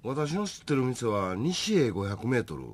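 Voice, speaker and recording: A man's voice: mid-range voice, very animated, normal speed. Guy doing friendly kansai handyman boss in a radio skit, swoopy medium voice. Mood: affable. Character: affable male home-repair company boss. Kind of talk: comic radio drama acting.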